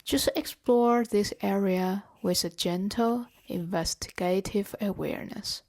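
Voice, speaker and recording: Woman doing a guided body scan, gentle instructions, really slow talking, mid pitch. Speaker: meditation guide and therapeutic coach.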